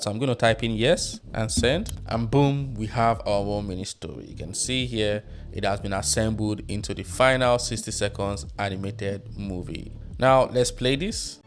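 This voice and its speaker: Tutorial host, male, thirties, lowish voice, casual explainer delivery, music underneath. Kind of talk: casual screen tutorial narration. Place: small room at home. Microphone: close studio microphone on boom arm.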